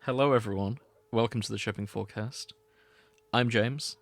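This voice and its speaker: A man's voice: fairly low pitch, normal speed, vocal fry, dry recording. A man hosting a podcast in a casual, chatty style. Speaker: podcast host.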